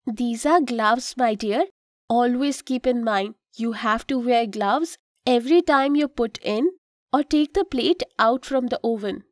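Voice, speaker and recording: Woman voicing a high-pitched patient Indian cartoon mom. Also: measured pace, dry recording, clean studio sound, natural voice. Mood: patient, guiding. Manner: patient, explanatory, gently cautioning. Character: kind, instructive Indian mother of two. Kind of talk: children's cartoon dialogue.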